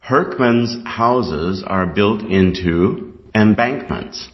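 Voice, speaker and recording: Slow Canadian man reading aloud, dull recording. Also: on the low side. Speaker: reader of an English exam reading passage. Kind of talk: scripted read-aloud of test text. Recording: dull, lo-fi sound.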